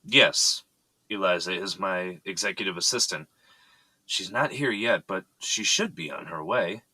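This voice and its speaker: A man's voice: low-pitched, measured pace, sharp tone, husky, dry recording, exaggerated voice, poor audio. An actor playing a composed, serious, fatherly boss of an architecture firm in an audio drama.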